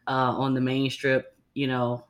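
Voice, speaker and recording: A young woman hosting a casual medical podcast, chatting in a relaxed way with plenty of slang and fillers, her voice a little raspy from the early morning. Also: a bass voice, unhurried, a crowd in the background. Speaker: podcast host. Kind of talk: casual chat.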